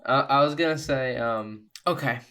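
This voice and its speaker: A man's voice: mid-range voice, normal speed, a bit bright. A man talking casually as a player in a tabletop role-playing game. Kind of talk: casual in-game questions and chat.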